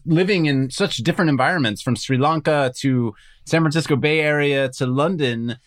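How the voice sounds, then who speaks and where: A man's voice: medium pitch, a bit bright. A man hosting an interview podcast, asking curious, reflective questions. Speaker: podcast host.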